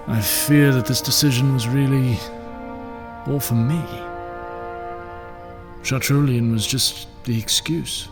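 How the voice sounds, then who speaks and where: A man playing a gentle middle-aged ruler and father in an audio drama, measured, tender and soft-spoken. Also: medium pitch. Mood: somber, confessing. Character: gentle fatherly ruler, weary, reassuring widower.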